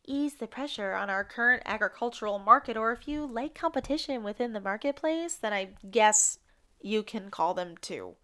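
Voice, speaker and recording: Casting: actress as outspoken female radio host for audio drama; high, very bright voice, wry, determined, pointed delivery. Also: lightly acted.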